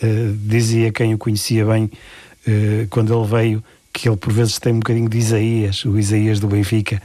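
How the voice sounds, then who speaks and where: A man with a European Portuguese accent, a panelist calmly giving his view on a player. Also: lowish voice, normal speed, dry recording. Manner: calm, steady, gives opinions with repeated words. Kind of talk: football podcast discussion.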